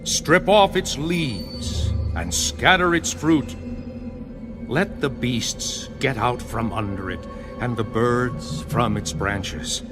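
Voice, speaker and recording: A stern, holy heavenly being in a scripture audio drama. He declaims very slowly and portentously, his pitch rising and falling widely, with music clearly behind him. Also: lively intonation. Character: holy heavenly watcher crying out a stern decree.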